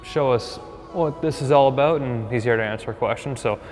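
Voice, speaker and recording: A man's voice: medium pitch, normal speed, a little mellow. A man presenting a casual on-location interview, asking questions as he goes. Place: simulator room in a racing facility. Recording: long echoey reverb, faint music.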